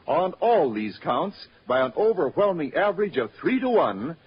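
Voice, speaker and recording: Animated American man, vintage radio announcer. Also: fairly high pitch, moderate pace, slight background noise. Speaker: radio announcer and sponsor pitchman. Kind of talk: 1940s radio announcing, scripted ad read. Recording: muffled vintage radio broadcast recording.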